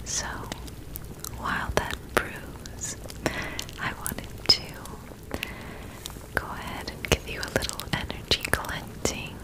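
A young woman voicing a friendly, soothing witch in an ASMR roleplay, whispering softly and gently close to the mic. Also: close to an everyday voice, rough recording. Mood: soothing, gentle. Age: young adult. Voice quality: soft, breathy whisper. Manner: slow, gentle, reassuring. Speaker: ASMR performer. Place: small dim indoor set, close up. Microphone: close sensitive microphone.

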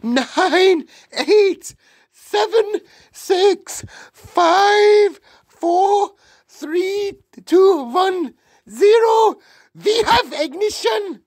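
A wicked witch, pitched extremely high. She is theatrical and gloating, stretching out her words and swooping with villainous glee. The sound is dry and close. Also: expressive, a little mellow, dry recording, exaggerated voice. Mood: shrill countdown. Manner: shrieking, drawn-out, gloating, theatrical. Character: gleeful evil Grand High Witch, theatrical female villain.

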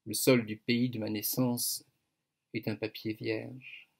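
An older man reading a poem aloud on a video call at a slow, measured pace. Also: mid-range voice, creaky, slightly rough. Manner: slow, even, measured recitation. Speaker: author reading his poem. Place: home study with bookshelves, indoors. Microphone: webcam on a video call.

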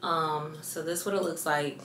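A young woman in her twenties chatting casually through a clothing haul, lively and loose, dipping into soft asides. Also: sits low, a little slow, reverberant, slight background noise. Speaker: fashion vlogger. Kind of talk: casual try-on haul chat.

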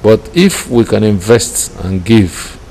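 A middle-aged man with a Nigerian accent speaks in a low, dark, gravelly voice. He gives his testimony deliberately, in short phrases, like a church leader being interviewed. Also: very animated, rough recording. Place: small indoor room with curtains. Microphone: handheld interview microphone. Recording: clear background noise.

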